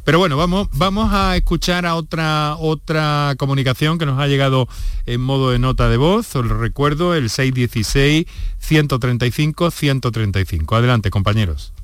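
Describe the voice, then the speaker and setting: A man's voice: medium pitch, moderate pace, smooth, no room sound. A male radio host with a Spanish accent speaks in a very dry, close sound. His delivery is conversational, as he moderates and prompts. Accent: Spanish (Spain).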